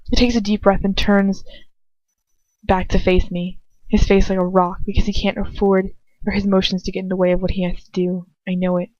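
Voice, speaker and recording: A woman's voice: mid-range voice, flat delivery, a little fast, darkish tone, no echo, highs cut off. A woman reading first-person fiction aloud as an amateur narrator, in a dramatic style. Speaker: amateur audiobook reader.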